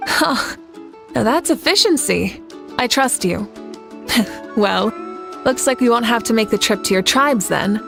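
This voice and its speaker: A woman playing a composed, commanding leader in a fantasy game, speaking in a measured, assured way. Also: fairly high pitch, expressive, creaky, music in the background. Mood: composed authority. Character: composed, commanding adult woman leader, amused yet dutiful. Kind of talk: animated fantasy game acting.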